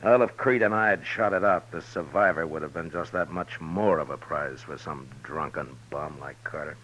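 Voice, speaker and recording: An actor playing a stern, weary American marshal in a 1950s radio western, terse and grave, on a noisy old recording. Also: male voice, rumbling low, moderate pace, fairly bright tone. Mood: grim, weary.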